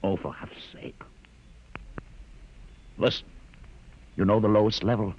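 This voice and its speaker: An actor playing a commanding, scornful older rebel guide in a 1950s radio drama, with a theatrical, sardonic delivery. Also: male voice, lowish voice, steady tone, measured pace, breathy edge. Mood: insistent, exasperated. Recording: muffled, noisy 1950s radio recording.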